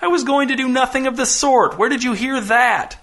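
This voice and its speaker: A man voicing an imperious, indignant ship's captain in a story, shouting his accusations. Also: sits high, brisk, pitched above the performer's own voice. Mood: outraged. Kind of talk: storybook character voice.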